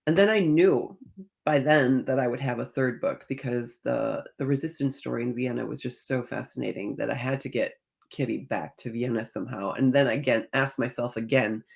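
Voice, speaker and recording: Creaky-voiced woman, author in interview. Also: very deep voice, brisk. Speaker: guest author discussing own novels. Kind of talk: conversational interview answers.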